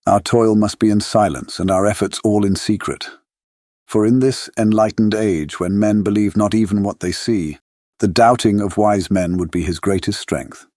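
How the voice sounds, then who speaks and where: An actor playing an earnest older foreign professor and vampire hunter in an audiobook drama, speaking in grave, emphatic oratory as a non-native English speaker. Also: male voice, on the low side, steady tone, normal speed, darkish tone, no room sound, studio quality, natural voice. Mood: solemn, fervent. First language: not English, speaks it with foreign grammar. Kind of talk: full-cast audiobook dramatic monologue.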